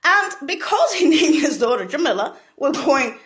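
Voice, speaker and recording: A woman from Italy, rambling in a profane monologue, laughing and bursting into shouts. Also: sits high, sing-song, normal speed.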